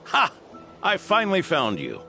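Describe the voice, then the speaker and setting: Gravelly, animated old grandfather. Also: high-pitched, measured pace, soft background music, full character voice. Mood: kind, gently scolding. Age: older. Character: kindly, protective old grandfather.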